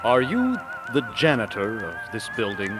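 A man playing a polite, hesitant census taker in a radio sketch, formal and halting. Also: lowish voice, wildly swooping pitch, over music. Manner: formal, halting, throat-clearing. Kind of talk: slow scripted radio sketch acting.

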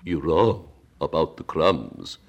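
Drawling, touchy storybook turtle creature, voiced by a man, deep and slow. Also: animated, vocal fry, muffled recording, heavily acted. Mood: gloomy. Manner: slow, drawling, easily offended. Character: slow melancholy male Mock Turtle creature.

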